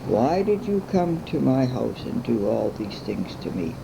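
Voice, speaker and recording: An elderly woman voicing a big, gruff male ogre in a folk tale, booming and emphatic. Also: big pitch swings, a little slow, lo-fi sound, full character voice. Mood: stern, threatening. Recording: noisy amateur home recording.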